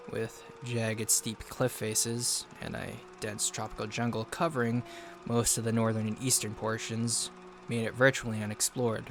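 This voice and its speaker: Low-voiced, solemn 1950s war correspondent played by a man. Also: slight background noise, close to an everyday voice. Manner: measured, literary, solemn. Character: haunted 1950s war correspondent recounting island horrors. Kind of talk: scripted narration, in-universe audio log.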